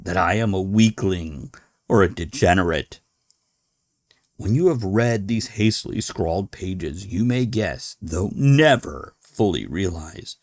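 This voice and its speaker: A man voicing a despairing, morphine-addicted sailor in a horror audiobook, grave and formal, halting for emphasis. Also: low voice, no room sound, clean studio sound, heavily acted. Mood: grim despair. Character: despairing morphine-addicted sailor writing his final horrified confession.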